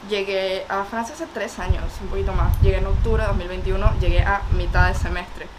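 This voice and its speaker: A woman's voice: mid-range voice, fairly even intonation, a little fast, noisy background. A Venezuelan woman in her early twenties with a Venezuelan accent, telling her story directly in an interview. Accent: Venezuelan Spanish. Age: early 20s. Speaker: theatre student, interviewee. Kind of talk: casual interview.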